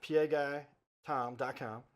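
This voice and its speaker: A man's voice: medium pitch, fairly even intonation, a little slow. A middle-aged man hosting a casual interview show, chatty and given to storytelling with asides and quoted dialogue. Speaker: talk show host.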